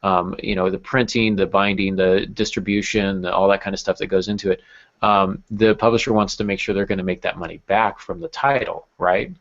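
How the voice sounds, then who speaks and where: A man's voice: low voice, a little fast, a bit bright. A man hosting a casual podcast discussion, talking conversationally and putting questions to the panel. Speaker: podcast host. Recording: dull, lo-fi podcast audio.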